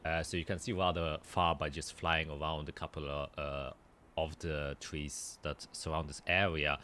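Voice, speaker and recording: A man with a low, bright and forward voice narrates as a game master. He describes scenes in a halting way, working them out as he speaks. Insect ambience and some noise sit behind him. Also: level tone. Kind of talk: narrating a tabletop roleplaying game.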